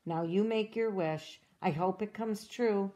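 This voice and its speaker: Dora read by a woman, gentle upbeat girl. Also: mid-range voice, measured pace, natural voice. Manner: gentle, encouraging, asks the listener questions. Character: cheerful young girl explorer, upbeat and eager. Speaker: storybook reader. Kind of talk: reading a children's storybook aloud.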